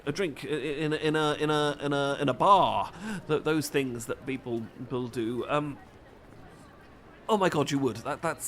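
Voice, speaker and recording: A man's voice: high voice, fairly bright tone, slight background noise. A man with a British accent playing a flustered, bashful, adorkable romantic who stammers and rambles apologetically in an intimate ASMR roleplay. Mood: hesitant, hopeful, then excited, flustered. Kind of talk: intimate ASMR roleplay acting, addressed to listener.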